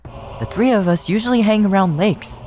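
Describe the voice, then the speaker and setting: A man's voice: sits high, moderate pace, a little mellow, pitched above the performer's own voice, close to an everyday voice. A man voicing a playful, chatty little guardian spirit in a video game, breezy and teasing. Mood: playful, proud. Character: playful, chatty small lake-guardian spirit creature, cheeky. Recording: game music behind the voice.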